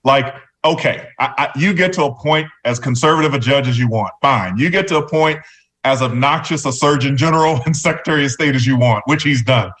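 A man's voice: medium pitch, brisk, fairly bright tone. A man speaking as a guest on talk radio, giving conversational political commentary. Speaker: radio show guest, former political candidate.

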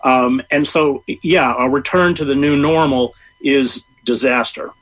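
A middle-aged man giving long, measured interview answers, full of hesitations and fillers. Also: medium pitch, a bit bright, rough recording. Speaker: interview guest, journalist. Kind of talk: interview answer, political commentary.

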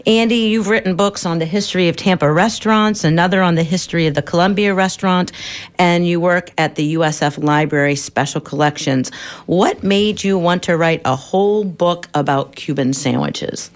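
Female co-host, slightly low voice, conversational interviewing. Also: normal speed. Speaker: radio show co-host, interviewer.

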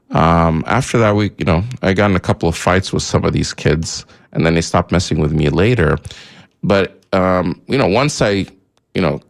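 A man from Egypt, Arabic his first language, hosts a call-in radio show. His voice sits very low with a gravelly fry. He rambles, backtracks and leans on fillers as he talks things through. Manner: rambling, many fillers and restarts.

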